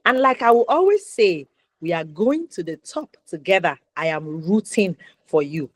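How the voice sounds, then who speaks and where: A woman's voice: on the low side, animated, moderate pace, no echo. Nigerian woman hosting, dry close sound. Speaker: talk show host. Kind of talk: conversational interview show hosting.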